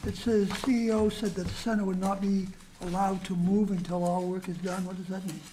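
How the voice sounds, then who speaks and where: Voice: medium pitch, little pitch movement, dark tone, a little hiss or hum, low-quality recording. A board member at a town planning meeting who keeps asking questions. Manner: quick, questioning. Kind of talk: municipal meeting discussion.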